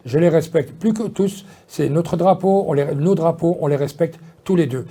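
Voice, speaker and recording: A man's voice: on the high side, normal speed, warm tone, slightly rough. A man, talking casually in an interview, rambling with many fillers and restarts. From Belgium. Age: 50s. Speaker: politician guest.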